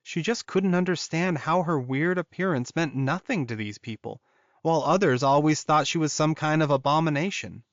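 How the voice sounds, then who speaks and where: A man's voice: on the high side, moderate pace, no room sound. Male audiobook narrator, dry muffled sound. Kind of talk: scripted fiction narration.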